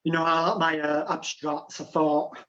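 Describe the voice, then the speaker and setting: A man doing improvised comedy, talking in a calm, hesitant way full of fillers. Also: a little high, normal speed, slight background noise. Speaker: improv performer. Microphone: video call. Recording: dull lo-fi video call audio.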